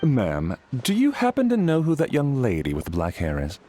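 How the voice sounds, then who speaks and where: An actor playing a polite, worried household butler in a fantasy video game, speaking in a deferential, formal and hesitant way. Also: male voice, medium pitch, huge pitch swings, moderate pace, dark tone, slight background noise. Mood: uneasy, confiding. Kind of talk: scripted video game dialogue.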